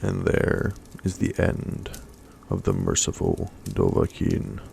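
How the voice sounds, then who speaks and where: A man reading a fantasy story aloud in a soft-spoken ASMR style. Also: very deep voice, sing-song, slow, vocal fry, a little hiss or hum.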